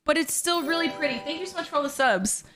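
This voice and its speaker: Bubbly twenties woman streamer, high bright voice, squealy, excitable. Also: quick pace, slight background noise. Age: 20s. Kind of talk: casual livestream chat. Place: her bedroom.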